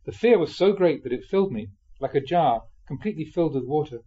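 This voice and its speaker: A man reading a spiritual memoir aloud expressively, in a measured way with dramatic pauses. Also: on the high side, animated, warm tone, breathy edge, lo-fi sound. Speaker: reader of Buddhist teachings.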